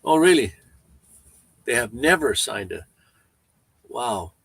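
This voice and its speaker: A man around seventy with a Canadian accent, chatting casually on a livestream, rambling and halting with frequent restarts. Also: mid-range voice, sing-song, vocal fry, fairly bright tone, slightly rough, no room sound. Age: 70s. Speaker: van-life vlogger.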